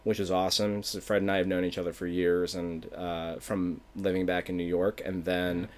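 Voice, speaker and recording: Low-voiced man with a Philadelphia accent. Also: normal speed. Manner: rambling, animated, drops consonants. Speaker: author and playwright. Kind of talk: casual interview chat.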